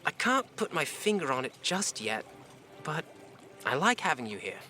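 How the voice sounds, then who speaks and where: A man's voice: fairly high pitch, very animated, normal speed, pushed and bright, soft background music. A man playing a breezy, privileged young lord's son in an audio drama, chatty and flippant. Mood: amused.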